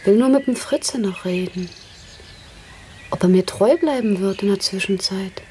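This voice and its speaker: A woman's voice: mid-range voice, moderate pace, darkish tone, slight background noise, natural voice. An actress playing a dreamy, lovestruck young country girl who longs for fine service, in a German radio drama. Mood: wistful.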